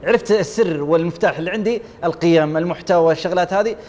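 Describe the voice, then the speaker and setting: A man's voice: sits high, very fast, a little mellow, big-room sound, lo-fi sound, slight background noise. A man on a TV talent show panel, enthusiastically listing points and praising a contestant, on a lapel mic in a studio. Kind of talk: evaluating a contestant on live TV. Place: TV studio set.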